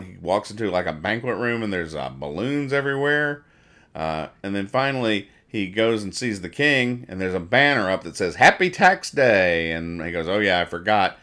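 A man hosting a casual comedy podcast, describing comic strips aloud and explaining their jokes in a rambling, halting, mock-serious way. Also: fairly low pitch, a bit bright. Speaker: podcast host reading newspaper comics.

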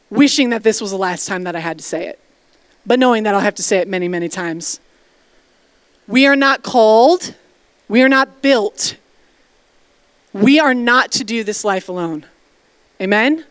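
A woman's voice: on the high side, clean tone, dry recording. A woman pastor preaching a sermon, emphatic and direct, calling out to the congregation for responses and dropping casual asides. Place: church sanctuary.

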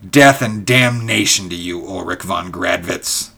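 A man's voice: low-pitched, unhurried, creaky, fairly bright tone, breathy edge, full character voice. A man voicing a trapped, bitter enemy who mocks and threatens his rival with snarling, gloating menace in a storybook reading. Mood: snarling defiance.

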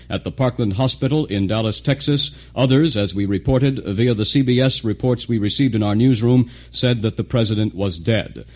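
A man's voice: mid-range voice, darkish tone. A man working as a radio station announcer, presenting live news in a formal, wordy way on 1960s shortwave.

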